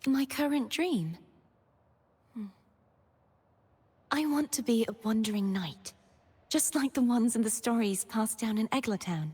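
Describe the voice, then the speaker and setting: A woman playing a gentle, earnest, solemn young knightly maiden in a video game, speaking softly in a scripted monologue. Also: on the high side, a little slow, pauses often, slightly rough. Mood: earnest, hopeful. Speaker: game character voice actor. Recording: long reverb on the voice.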